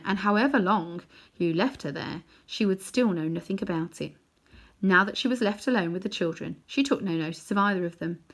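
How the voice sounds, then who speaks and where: A woman reading a children's novel aloud in an expressive storytelling manner. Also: mid-range voice. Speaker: storybook reader.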